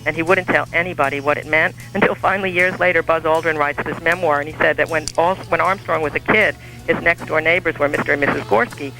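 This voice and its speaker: A man telling an anecdote on a radio show in a measured storytelling manner. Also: on the high side, fairly even intonation, creaky, pushed and bright, poor audio. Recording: archival radio broadcast with music bed.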